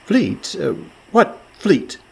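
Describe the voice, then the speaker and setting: Guy playing a placating con man pirate, lively higher voice, wry, radio comedy, slight noise. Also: very slow, creaky, full character voice. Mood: nervous. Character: quick-witted con man posing as pirate, coaxing, anxious. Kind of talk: comedic radio play acting.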